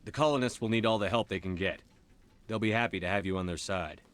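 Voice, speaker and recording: An actor plays a resolute male commander in a game drama. His voice is on the low side and moves quickly. He is blunt, matter-of-fact and wastes no words. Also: fairly bright tone, close to an everyday voice. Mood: businesslike. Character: resolute, no-nonsense male human military commander. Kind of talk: video game dramatic acting.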